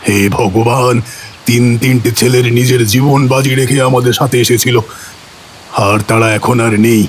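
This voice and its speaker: A man's voice: medium pitch, fairly even intonation, moderate pace, a little hiss or hum, close to an everyday voice. A man playing a steadfast village priest who fights a ghost and reassures the others. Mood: earnest. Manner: earnest, reassuring. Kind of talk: audio drama acting.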